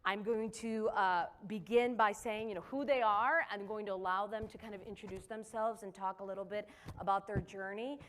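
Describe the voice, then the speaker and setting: A woman's voice: mid-range voice, steady tone, moderate pace, slight background noise. Woman moderator opening a panel, upbeat and admiring. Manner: enthusiastic, warm praise of guests. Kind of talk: introducing a panel.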